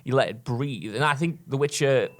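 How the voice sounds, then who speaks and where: A man's voice: mid-range voice, moderate pace. Casting: male co-host with a mid-range voice for informal, opinionated discussion. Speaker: podcast host. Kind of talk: casual discussion.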